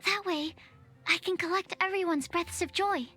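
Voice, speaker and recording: Nervous young alchemist, very high voice, hesitant, precise, scientific wording, game music. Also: female voice, moderate pace, sharp tone. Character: shy young female alchemist, nervous and bookish. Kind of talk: video game dialogue.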